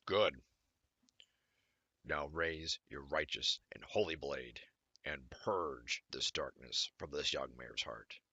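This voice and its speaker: A man voicing a stern, righteous stallion guard captain in a fan audio drama, gravelly and commanding. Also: extremely low pitch, bright, forceful tone, dry recording, full character voice. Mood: stern, menacing. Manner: slow, commanding, deliberate.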